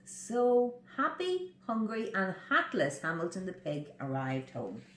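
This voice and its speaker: Animated Irish woman reading to children, echoey. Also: mid-range voice, measured pace. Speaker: library storyteller. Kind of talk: expressive children's story reading.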